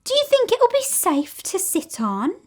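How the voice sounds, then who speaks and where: A woman's voice: very high voice, huge pitch swings, unhurried, darkish tone, pitched above the performer's own voice, heavily acted. A woman doing a doubtful older schoolgirl. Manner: doubtful, questioning.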